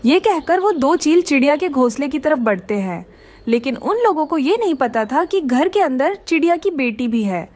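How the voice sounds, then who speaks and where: Female narrator, high voice, lively swooping intonation, scripted kids' story. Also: normal speed.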